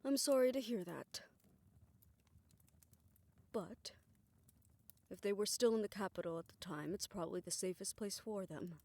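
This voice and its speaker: A woman playing a weary, affectionate older woman in an intimate romantic audio roleplay, speaking softly and reflectively in a measured way. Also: medium pitch, close to an everyday voice. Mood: somber, sympathetic.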